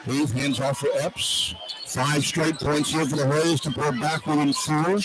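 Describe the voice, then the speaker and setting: High-voiced man calling basketball over loud noise. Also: little pitch movement, a little slow. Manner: clipped running description. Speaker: radio play-by-play announcer. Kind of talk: live basketball play-by-play commentary. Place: basketball arena broadcast position. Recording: very noisy arena radio broadcast. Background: loud arena ambience.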